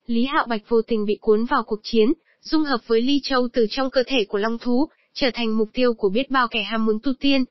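A woman delivers scripted promotional narration in a fairly high voice. The sound is dry, with little room, and slightly muffled on top. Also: brisk, few pauses, dry recording. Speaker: channel narrator introducing an animated series.

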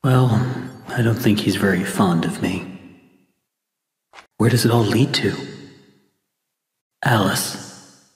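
Wary American everyman, video game explorer, weary, understated muttering, lowish pitch, long echoing reverb. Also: takes it slowly, pauses often, faint music behind. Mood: weary, subdued. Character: weary, wary man exploring a haunted cartoon studio. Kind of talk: scripted game character dialogue.

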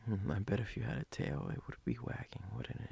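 Man playing a sleepy boyfriend, gravelly and husky. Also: fairly low pitch, animated, a little mellow, full character voice. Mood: doting, teasing. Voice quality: husky, breathy, gravelly, often whispered. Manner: slow, halting, intimate teasing. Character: sleepy, teasing, doting boyfriend. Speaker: ASMR role-play performer. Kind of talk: ASMR boyfriend role-play.

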